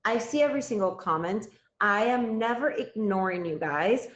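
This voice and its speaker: A woman's voice: mid-range voice, lively intonation, normal speed. Chatty woman live streamer, roomy sound. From Cuba. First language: Spanish. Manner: chatty, addresses viewers directly, thanks gifters. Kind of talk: casual live stream chat. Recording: roomy, slightly dull sound.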